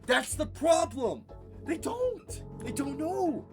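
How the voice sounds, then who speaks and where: An excitable gamer rants and cheers through a let's play, with pitch leaping all over the place. Now and then they make laser sound effects. Loud game music plays underneath the whole time. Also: takes it slowly.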